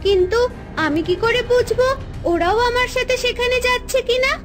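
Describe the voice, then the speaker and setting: A woman voicing an excitable, impatient young girl adventurer in a cartoon fairy tale. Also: very high-pitched, moderate pace. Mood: anxious, impatient. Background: background music.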